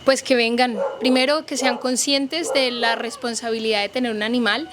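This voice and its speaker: Earnest Colombian woman veterinarian, high voice. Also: steady tone, moderate pace. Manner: earnest, persuasive, addresses listeners directly. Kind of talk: news interview, public appeal. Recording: background noise from the surroundings.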